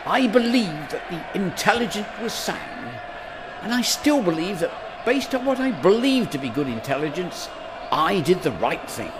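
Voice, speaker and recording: A man's voice: sits high, very animated, moderate pace. Guy doing a defiant formal prime minister speech, very up and down, noisy crowded room, radio play. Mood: solemn, defiant. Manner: formal, declamatory, self-justifying. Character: embattled, defiant male Prime Minister giving resignation speech. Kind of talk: political speech in a radio drama. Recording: noisy chamber with crowd murmur.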